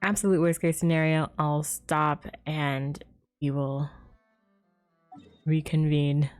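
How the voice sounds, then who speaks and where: A woman chats casually as a game streamer, easygoing and a bit hesitant. Her voice is slightly low and soft-edged, with a very relaxed tone. Faint music plays behind her. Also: slow. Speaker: livestreamer. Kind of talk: casual gaming stream chat.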